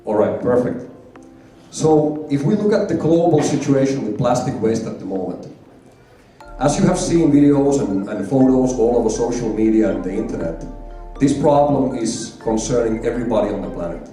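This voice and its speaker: Man from Finland, conference presenter, mellow voice, distant echoey hall recording, faint music behind. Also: medium pitch, lively intonation, normal speed. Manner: emphatic, repeats key figures for effect. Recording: echoey hall, heard from far off.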